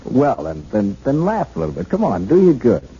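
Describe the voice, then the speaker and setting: A man's voice: mid-range voice, huge pitch swings, brisk, warm tone, slightly rough, full character voice. An actor playing an awkward, kindly, unschooled American policeman in a 1970s radio drama, stammering and folksy. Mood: kindly, flustered. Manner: stammering, hesitant, folksy, colloquial. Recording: muffled, hissy vintage radio broadcast.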